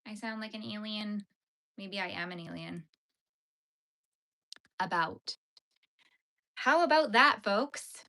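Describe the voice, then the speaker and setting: A woman's voice: medium pitch, slow pace, pauses often, sharp tone. A young woman, chatting playfully with her livestream viewers while she crochets. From Canada. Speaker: livestream host.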